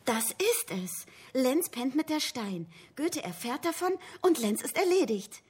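An actress playing an inquisitive young woman in a radio crime drama, blunt and eager, with a husky voice. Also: sits high, big pitch swings, unhurried, heavily acted. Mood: eager, probing.

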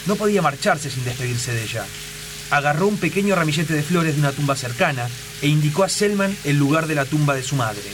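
A man's voice: on the high side, expressive, brisk, noisy background. A man narrating a scripted radio drama in a solemn, suspenseful storytelling manner. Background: loud music.

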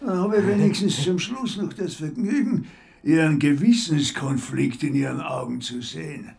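A man's voice: a little high, normal speed, vocal fry, a little mellow. An actor playing a bitter, sarcastic bedridden old man in a radio drama, mocking and blunt, with an Austrian accent. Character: bitter, sarcastic, bedridden old male care-home patient.

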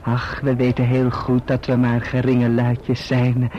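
An actor playing a falsely humble, scheming young clerk in a radio drama, wheedling and obsequious. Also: male voice, medium pitch, monotone, a little slow, close to an everyday voice. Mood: sly, wary. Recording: dull, noisy old radio recording.

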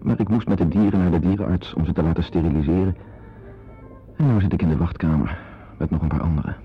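An actor playing a melancholy, protective pet owner in a radio drama, brooding and a little hesitant. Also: male voice, low voice, fast talker, soft background music, natural voice. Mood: gloomy. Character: melancholy, protective male pet owner, narrating his thoughts. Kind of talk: radio drama acting, introspective narration and dialogue. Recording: dull lo-fi radio play recording.

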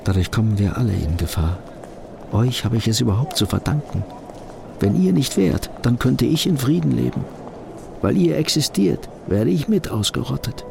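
An actor playing a tormented, restless middle-aged fugitive in a brooding, agitated inner monologue from a German radio drama. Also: male voice, medium pitch, expressive, normal speed, vocal fry, noisy background. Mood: bitter.

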